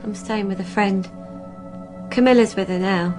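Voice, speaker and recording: A serious young woman played by an actress answering questions in a radio drama. Her mid-pitched voice is subdued and guileless, with faint music behind. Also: moderate pace, lightly acted. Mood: subdued, flat. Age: young adult. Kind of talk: naturalistic radio drama acting.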